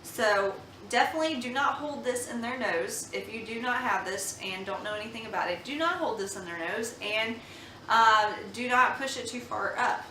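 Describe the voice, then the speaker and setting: A woman's voice: a little high, moderate pace, pushed and bright, slight background noise. A young woman, a mother, chatting earnestly at home about baby products, with many ums and restarts. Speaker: mom vlogger reviewing baby products. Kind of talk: casual product review chat. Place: home room. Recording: echoey room, slightly far from mic.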